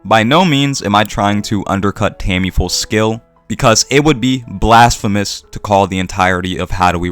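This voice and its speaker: Low-voiced man narrating an earnest video essay. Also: fairly bright tone. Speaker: video essayist, channel host.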